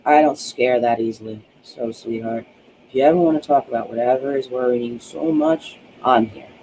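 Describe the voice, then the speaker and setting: A man's voice: medium pitch, moderate pace, darkish tone, a little hiss or hum, pitched below the performer's own voice, close to an everyday voice, low-quality recording. A man voicing a weary, protective, sardonic ex-cop in an audiobook, earnest and plain-spoken. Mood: earnest, reassuring.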